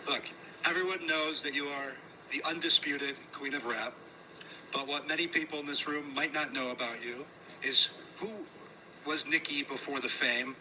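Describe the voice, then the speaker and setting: A man interviewing a guest before a room audience in a casual, flattering, chatty way. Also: a little high, normal speed. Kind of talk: onstage conversational interview. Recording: noisy room ambience.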